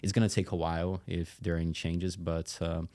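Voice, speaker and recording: Male podcast guest, Brazilian-accented native Portuguese speaker. Flat, soft-edged delivery, conversational, with frequent searching pauses. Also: low voice. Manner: hesitant, pausing often mid-phrase. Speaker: podcast guest, company executive. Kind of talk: casual interview talk.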